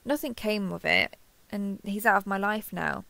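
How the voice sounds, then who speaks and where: A woman's voice: mid-range voice, normal speed, vocal fry, bright, forceful tone, no echo. A woman playing a kindhearted, awkward young woman who gets easily flustered, speaking hesitantly and earnestly in an intimate roleplay audio drama.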